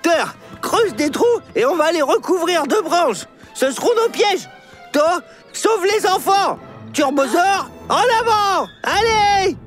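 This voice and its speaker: A paranoid little cartoon dinosaur, squeaky and very high, with wildly swinging intonation. The delivery is dramatic and stammers in alarm. Loud music plays behind it. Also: expressive, slow, heavily acted. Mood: panicked. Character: excitable, paranoid young cartoon dinosaur machine obsessed with aliens.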